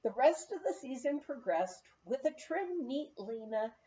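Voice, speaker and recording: A woman narrates a memoir in a high, lively voice that swings with the story. Her delivery is expressive and unhurried, with a soft, mellow tone. The recording is a little dull and lo-fi. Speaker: audiobook reader. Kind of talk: scripted narration of a humorous memoir.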